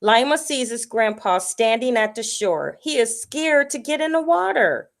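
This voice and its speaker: Slow-reading woman with a higher voice. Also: animated. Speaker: children's story reader. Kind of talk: picture-book read-aloud for children.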